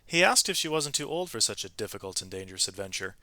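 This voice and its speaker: A man reading a storybook aloud to students in an expressive, emphatic way, with brief explanatory asides to his listeners. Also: fairly low pitch, fast, no room sound. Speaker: read-aloud narrator, teacher reading to students.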